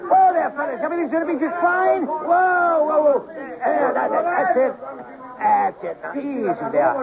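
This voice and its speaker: Casting: stubborn, protesting lovelorn man in a radio drama, lively intonation, tinny noisy sound. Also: very high voice, moderate pace, creaky, a loud crowd, full character voice. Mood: urgent soothing. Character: stubborn, exasperated lovesick man, reluctant hero. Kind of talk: old-time radio drama acting. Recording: noisy, tinny narrow-band radio recording.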